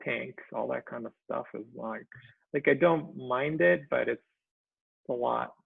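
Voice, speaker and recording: Gravelly, halting man on a tinny call. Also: medium pitch, no room sound. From Israel. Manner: halting and soft-spoken, full of ums and trailing phrases. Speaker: guest cartoonist. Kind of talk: casual chat. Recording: tinny dry call audio.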